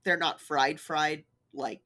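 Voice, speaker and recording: Female co-host, bright forceful voice, slow pace, enthusiastic casual conversation. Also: sits low, steady tone. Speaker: podcast co-host. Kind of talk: casual chat.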